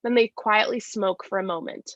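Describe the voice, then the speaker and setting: A woman's voice: mid-range voice, moderate pace, fairly bright tone, dry recording. A woman reading scripted stage directions as narration, plainly and step by step, with slight stumbles. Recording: dry, slightly dull lo-fi sound.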